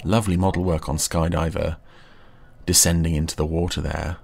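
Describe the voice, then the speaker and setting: A man giving casual, musing commentary while watching a TV episode, often pausing mid-thought. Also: very deep voice, level tone, vocal fry, very noisy. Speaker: podcast commentator.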